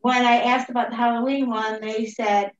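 A woman with a somewhat high voice reports to fellow board members in an informal way. The room echoes noticeably and the call audio is a bit dull. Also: flat delivery, moderate pace.

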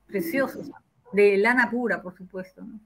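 A woman's voice: mid-range voice, moderate pace, poor audio. An older woman with a Peruvian accent, hosting a casual interview over a video call from a room at home. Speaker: host. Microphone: computer or webcam on a video call.

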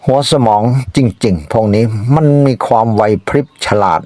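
A man voicing a foolish, fearful tiger in an animal folk tale, moaning in drawn-out exclamations. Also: lowish voice, animated, a little mellow, dry recording, close to an everyday voice. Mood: fretful. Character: foolish, fearful tiger, wailing and easily tricked.

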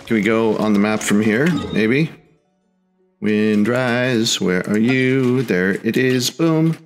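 A man's voice: fairly low pitch, takes it slowly, soft background music. A man hosting a casual let's play, laid-back and chatting back to the game's characters. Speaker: gaming host.

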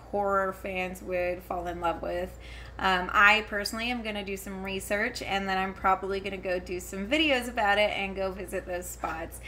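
Woman, 30s, home vlogger, bright, rambling casual delivery, faint background noise. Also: medium pitch. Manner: rambling, many fillers and restarts, giggly asides. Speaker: vlogger reviewing a convention. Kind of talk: casual talking-to-camera chat. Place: home, her daughter heard elsewhere in the house.

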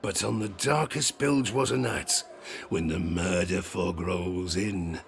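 Gravelly, theatrical old sailor. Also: male voice, low-pitched, a little slow. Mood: haunted, hushed. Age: older. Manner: salty sailor's dialect, chuckling, theatrical asides. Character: grizzled old harpooner spinning a tavern sea tale, boastful, haunted. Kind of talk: dramatic audio drama storytelling.

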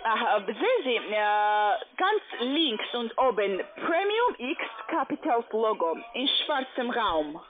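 A woman is selling over a tinny phone line in accented, non-native German. Her voice is fairly high and swings widely in pitch. Her phrasing is halting and formal, like a call centre agent working through a pitch. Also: big pitch swings. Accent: foreign, non-native German. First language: not German. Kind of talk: telephone sales pitch.

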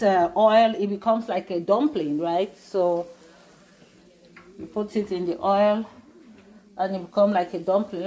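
A woman's voice: mid-range voice, darkish tone, a little hiss or hum. Middle-aged woman, cooking vlog host, talks casually through steps in her kitchen on a phone camera. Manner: chatty, explains step by step with many fillers. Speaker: cooking vlogger. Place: home kitchen.

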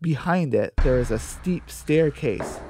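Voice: mid-range voice, expressive, unhurried, very noisy. A narrator reading a scripted radio drama.

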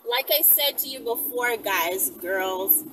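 A woman's voice: expressive, takes it slowly, far from the mic. A woman in her thirties chatting casually to camera from inside a car, speaking emphatically and repeating herself, with frequent check-ins to her viewers. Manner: emphatic, repetitive, checks in with "right?" and "okay?". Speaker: vlogger answering viewer questions. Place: inside a car, often moving. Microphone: camera or phone mounted at arm's length. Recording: noisy car interior, off-mic, vehicle rumble. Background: loud vehicle and road noise.

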